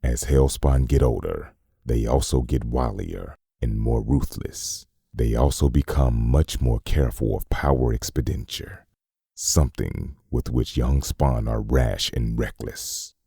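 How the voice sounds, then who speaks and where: A man performing a grave, clinical narrator who reads a demon-hunting manual in a measured, formal, gravelly voice, as scripted comic-dub narration. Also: a bass voice, mellow, no room sound, studio quality, heavily acted. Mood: cold, instructive.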